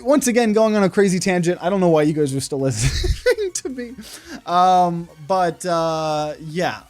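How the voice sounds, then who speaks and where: A man's voice: fairly high pitch, a little mellow. A man, talking to camera in a loose, rambling vlog full of fillers and restarts. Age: 20s. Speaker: content creator. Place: indoor room. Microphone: camera at desk.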